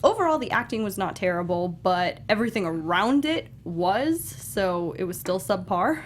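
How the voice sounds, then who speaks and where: A woman's voice: mid-range voice, sing-song, moderate pace, slight background noise. A woman co-hosting a casual movie discussion in an informal way. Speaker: panel show co-host.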